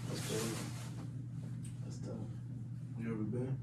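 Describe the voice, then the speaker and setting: A young man, a rapper in the studio, talking quietly and low-key between takes. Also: level tone, measured pace, very noisy. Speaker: rapper recording vocals. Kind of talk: casual studio chat. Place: small home recording studio, indoors. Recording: roomy, distant.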